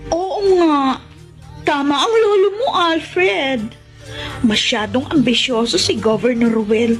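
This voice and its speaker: A woman's voice: sits high, slow, soft background music. A woman playing an opinionated family-side woman in a Filipino radio drama. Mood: disapproving. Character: opinionated woman siding with the old patriarch.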